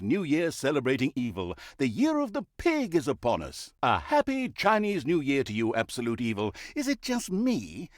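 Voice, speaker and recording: A man's voice: mid-range voice, no echo, clean studio sound. A man playing a grandiose, tongue-in-cheek narrator in a fantasy video game, with mock-solemn, theatrical delivery.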